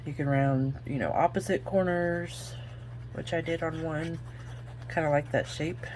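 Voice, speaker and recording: A woman's voice: low voice, fairly even intonation, measured pace, darkish tone, a little hiss or hum. A woman with an Oklahoma accent, chatting her way through a crafting tutorial and thinking aloud as she works. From Oklahoma, United States. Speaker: craft video host.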